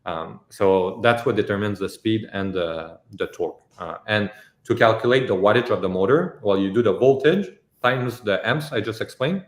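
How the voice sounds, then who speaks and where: A man's fairly low voice in an echoey room, with a French accent from his native French. He hosts a casual question session and explains technical points step by step in informal language. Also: expressive, moderate pace. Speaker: company host answering viewer questions.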